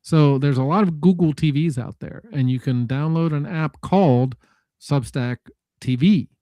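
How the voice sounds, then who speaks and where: A man in a casual tech discussion, speaking haltingly and correcting himself as he thinks aloud. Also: on the high side, warm tone, no room sound, studio quality.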